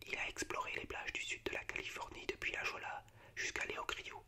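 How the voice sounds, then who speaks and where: A man's voice: fairly quick, bright, forceful tone. Whispering man, ASMR style. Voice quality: unvoiced, breathy whisper. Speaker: ASMR video host. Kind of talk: whispered ASMR, reciting film monologues.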